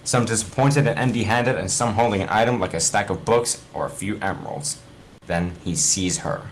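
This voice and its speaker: A man's voice: lowish voice, normal speed, slight background noise, low-quality recording. A man reading a fan fiction story aloud informally, stumbling over words and correcting himself. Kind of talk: informal read-aloud narration among friends.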